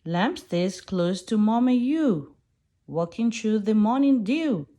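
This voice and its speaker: A woman reading a rhyming children's farm book aloud in a slow, gentle way. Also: medium pitch, lively intonation. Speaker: storybook reader.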